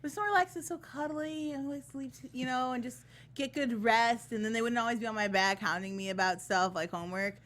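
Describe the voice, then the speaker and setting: A woman's voice: a little high, brisk, a bit bright. A woman co-hosting a casual panel chat in a playful, emphatic, chatty way, peppered with "like". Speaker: talk show co-host.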